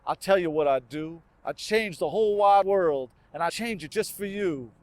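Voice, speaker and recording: A man's voice: sits high, moderate pace, a little mellow, dry recording. A man performing rhymed spoken word poetry with a rhythmic, emphatic and earnest delivery.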